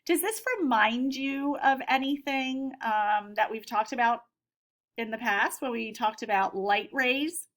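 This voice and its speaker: A woman's voice: a little high, unhurried, fairly bright tone. An American woman teacher giving an instructional read-aloud for children, putting simple questions to her pupils. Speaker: elementary school teacher.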